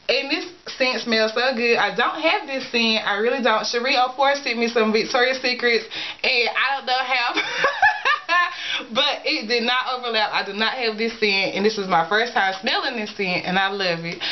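A woman in her thirties who is sick, her voice a little raspy, chatting casually to camera as a vlogger, gushing and giggling and calling her viewers y'all. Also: fairly high pitch, highs cut off, a little hiss or hum. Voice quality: slightly raspy. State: sick, voice going. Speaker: beauty vlogger. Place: small indoor room in front of a folding screen.

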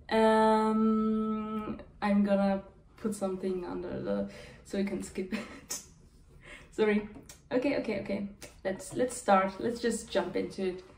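Slow-talking young woman in an echoey room. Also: mid-range voice, vocal fry, darkish tone, far from the mic, slight background noise. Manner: rambling, chatty, giggly, many fillers. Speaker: reaction channel host. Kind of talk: casual chat to camera. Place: bedroom at home, indoors. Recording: echoey room, slightly off mic.

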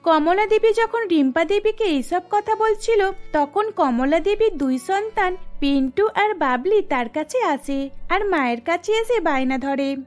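Very high-pitched woman story narrator. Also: animated, measured pace, soft background music. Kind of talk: scripted cartoon story narration.

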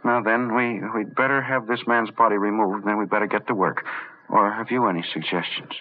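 An actor playing a smug, power-mad little man with mock-polite, halting speech in an old radio horror drama. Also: fairly low pitch, steady tone, phone-quality sound, natural voice. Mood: gloating. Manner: mock-polite, halting, taunting tag questions. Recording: band-limited vintage radio drama recording.

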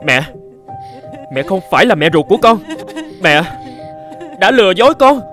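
A man voicing a poor, earnest teenage boy with big dreams in an animated moral tale, speaking with dramatic emotion. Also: high voice, moderate pace, soft background music. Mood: angry, accusing.

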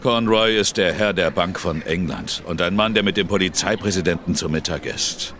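A man playing a smug, menacing gentleman in a radio drama, cool and condescending. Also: low voice, moderate pace, slight background noise. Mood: smug, threatening. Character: smug, menacing gentleman bluffing past a policeman.

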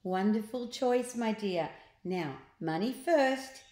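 A woman's voice: medium pitch, expressive, takes it slowly, darkish tone. A woman voicing a greedy, two-faced female ice cream seller in a children's storybook, by turns sugary and nasty. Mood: sugary nice. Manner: sugary when nice, barking when nasty. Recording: roomy home recording.

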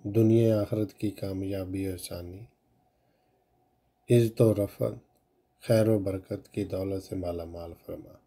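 A man slowly reciting a long devotional supplication in a solemn, measured way. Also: fairly low pitch, mellow. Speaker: prayer reciter.

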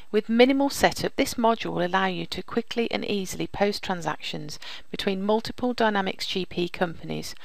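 A woman narrating a software demonstration as a presenter, explaining things step by step. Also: mid-range voice, vocal fry, no echo. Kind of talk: scripted software demo narration.

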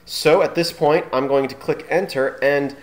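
Man giving an informal, halting tutorial. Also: a little high, steady tone, slight background noise. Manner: halting, thinking aloud while demonstrating. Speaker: tutorial host.

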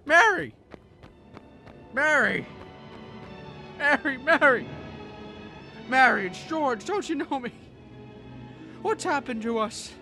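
A man acting a distraught radio-drama everyman with a high, bright voice. He stammers and pleads with desperate urgency, music sounding behind him. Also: expressive, slow, gravelly, long pauses, exaggerated voice. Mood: desperate anguish.

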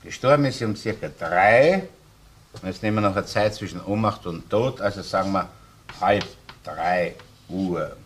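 An actor playing a shrewd middle-aged Viennese chief inspector in a crime drama, thinking a case through aloud. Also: male voice, deep voice, moderate pace, slight background noise, natural voice. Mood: pondering. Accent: Austrian, Viennese. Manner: thinking aloud, tags sentences with "ne". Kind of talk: crime radio play acting.

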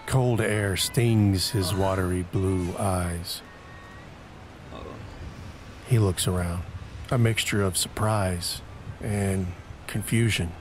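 A man narrating an audio drama in a deliberate, brooding way, pausing between short phrases for dramatic weight. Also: low voice, fairly even intonation, slight background noise.

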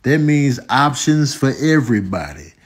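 An American man, a comedian doing slangy, mock-indignant comic commentary with drawn-out exclamations. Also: mid-range voice, very animated, slightly rough. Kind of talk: comedic voiceover commentary, riffing.

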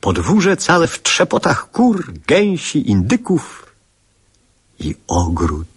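An actor playing a nostalgic, reflective father in a radio drama, narrating in a measured, lyrical, husky voice. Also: on the high side, wildly swooping pitch, full character voice. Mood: wistful, tender. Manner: measured, lyrical, hushed at times. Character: nostalgic man recalling his childhood, a reflective father.

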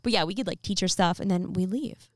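A woman's voice: on the low side, fast talker, no room sound, studio quality. A young woman co-hosting a podcast outdoors, chatting casually and rambling with lots of fillers into a handheld mic. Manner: rambling, filler-heavy storytelling. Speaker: podcast co-host. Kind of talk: casual chat. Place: outdoors by a fire pit.

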